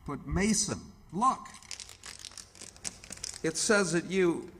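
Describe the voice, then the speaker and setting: An actor playing an earnest, careworn hospital superintendent in radio theatre, halting and emphatic. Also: male voice, on the high side, expressive, a bit bright, a little hiss or hum, heavily acted. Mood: unnerved.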